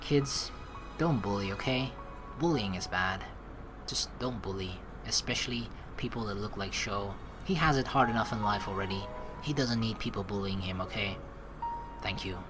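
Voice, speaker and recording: A young man hosting a game playthrough, reading dialogue aloud and adding casual, slangy, expressive commentary. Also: fairly low pitch, normal speed. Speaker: let's play host. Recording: game music behind the voice.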